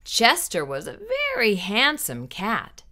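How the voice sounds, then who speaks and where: Bright, animated woman reading to children. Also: medium pitch. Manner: theatrical, deliberate, emphatic. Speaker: children's storytime host. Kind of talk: expressive picture-book read-aloud. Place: small indoor room with bookshelves.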